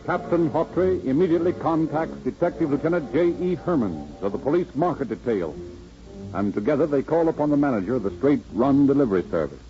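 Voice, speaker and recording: A man's voice: mid-range voice, lively intonation, fairly quick, soft background music. A man with an American accent reading scripted narration for a 1930s radio crime drama. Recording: muffled vintage radio recording.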